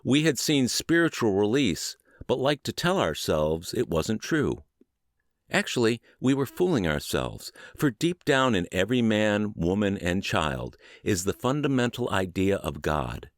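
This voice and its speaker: A middle-aged man with a lowish voice narrates an audiobook in an earnest, measured way. His intonation moves expressively up and down. The sound is dry and close to the microphone. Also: very animated, no room sound. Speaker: podcast narrator reading a book aloud.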